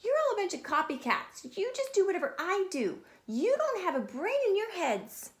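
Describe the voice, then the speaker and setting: A woman's voice: very high voice, very animated, moderate pace, reverberant, voice pushed up. A woman voicing a stubborn, defiant little schoolgirl in a storybook, emphatic and insistent. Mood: scornful, yelling.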